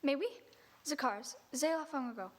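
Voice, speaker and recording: A woman playing a chatty, flattering French lady's maid who speaks broken English with a French accent, in an audio drama. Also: sits high, moderate pace, natural voice. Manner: lively, flattering. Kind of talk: radio drama acting.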